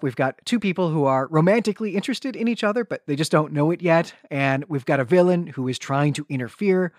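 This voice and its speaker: A man's voice: fairly high pitch, no echo. Conversational man co-hosting a podcast, dry sound. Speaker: podcast co-host. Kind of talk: conversational plot recap and book discussion.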